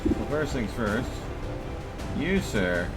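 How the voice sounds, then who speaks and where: A male gamer with a mid-pitched voice gives casual play commentary. He sounds low-key and wry, with loud game music and gunfire behind him. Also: sing-song, slow.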